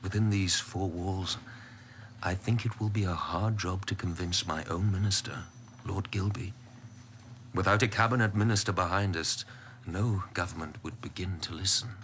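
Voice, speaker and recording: An actor playing a shrewd, ruthless British government minister, measured and formal, in a radio drama. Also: male voice, sits low, a bit bright, slight background noise. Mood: diplomatic, guarded.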